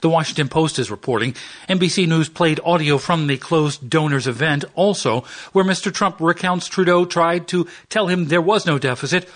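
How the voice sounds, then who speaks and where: A man filing a brisk radio news report as a correspondent. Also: fairly high pitch, fairly bright tone.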